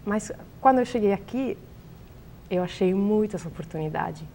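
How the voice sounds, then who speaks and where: A young Italian woman with an Italian accent, a biologist answering interview questions in a language she is still learning, pausing often to search for words. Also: mid-range voice, lively intonation, mellow, a little hiss or hum. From Italy. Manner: hesitant, searching for words, many fillers.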